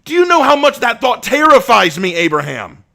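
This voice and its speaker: A man voicing a tormented young male vampire with a volatile temper, who bursts into shouting rage and anguish. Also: very deep voice, normal speed, voice pushed down.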